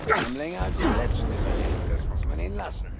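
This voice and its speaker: A man's voice: high-pitched, animated, quick pace, gravelly, heavily acted. A man voicing a cocky fighter in a post-apocalyptic video game, in short combat barks over game music. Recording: game audio under loud music.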